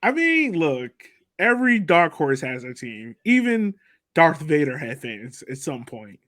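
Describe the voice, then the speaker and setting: A man with a fairly high voice hosts a sports podcast. He talks casually in a chatty, slangy, jokey way. The sound is dry, with very little room to it. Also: sing-song, unhurried, a bit bright, no room sound. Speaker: podcast host.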